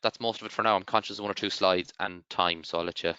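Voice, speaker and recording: A man's voice: sits low, fairly even intonation, quick pace, bright, forceful tone, smooth, no echo, lo-fi sound. A man moderating a webinar, reading out viewer comments with many hesitant ums. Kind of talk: moderating questions.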